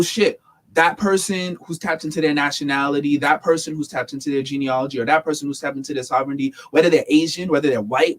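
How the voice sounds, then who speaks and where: A young man with a fairly high speaking voice hosts a casual podcast discussion. He talks in animated, emphatic bursts and often restarts and repeats words as he builds to a point. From United States. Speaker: podcast host.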